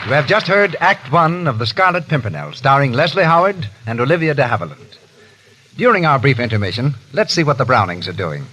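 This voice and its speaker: Lively male 1930s radio announcer. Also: medium pitch, brisk, very noisy. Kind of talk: scripted 1930s radio advertising narration. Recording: vintage 1930s radio broadcast.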